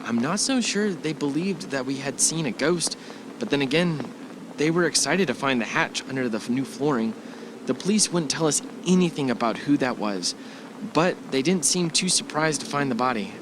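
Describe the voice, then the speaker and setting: A man's voice: medium pitch, lively intonation, normal speed, lightly acted. A man playing a worried but supportive husband in an amateur audio drama, hesitant and reassuring. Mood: subdued, tender. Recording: clearly noisy amateur recording.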